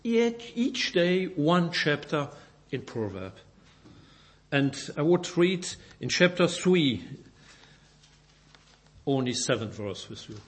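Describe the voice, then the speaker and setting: A Belgian man whose first language is German preaches in halting English, with a slightly raised voice. He reads scripture slowly and deliberately, repeating and correcting words as he goes. His speech carries an unhurried, measured pulpit rhythm. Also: fairly high pitch, sing-song. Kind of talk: sermon with scripture reading.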